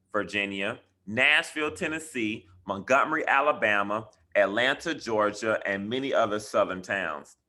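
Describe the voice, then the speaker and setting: Slow, bright-voiced man reading a storybook. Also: mid-range voice. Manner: deliberate, emphatic phrasing with dramatic stresses. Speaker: school educator reading a bedtime story. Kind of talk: expressive picture-book read-aloud for children.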